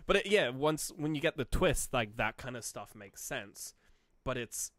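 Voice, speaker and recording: A man's voice: mid-range voice, expressive, unhurried, a bit bright, dry recording. Casual male podcaster, dry close sound. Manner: informal, acting out imagined reactions, swearing. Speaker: podcast co-host. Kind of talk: casual film discussion.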